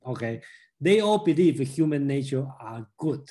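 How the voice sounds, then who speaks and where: A man's voice: mid-range voice, lively intonation, moderate pace, warm tone. A man in his sixties from Taiwan hosting an online discussion group on a video call from home, talking with many fillers and restarts. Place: home room. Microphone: laptop webcam on a video call.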